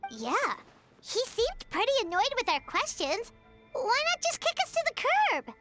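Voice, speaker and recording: A woman's voice: extremely high pitch, wildly swooping pitch, normal speed, heavily acted. A woman voicing a tiny, childlike, feisty female companion sprite in a video game. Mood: exasperated. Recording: loud music behind the voice.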